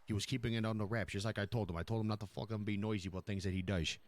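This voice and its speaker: A man's voice: fairly low pitch, fairly even intonation, rapid-fire, natural voice. A man playing a profane, commanding Italian-American mob boss in improvised roleplay, repeating himself for emphasis. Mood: emphatic, approving. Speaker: streamer playing a crime boss in online roleplay. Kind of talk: improvised in-character roleplay dialogue.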